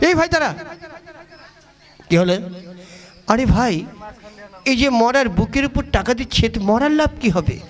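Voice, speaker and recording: A man's voice: very high-pitched, animated, normal speed, long pauses. A man voicing crude, cocky, superstitious bandits in a comic puppet play. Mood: scheming.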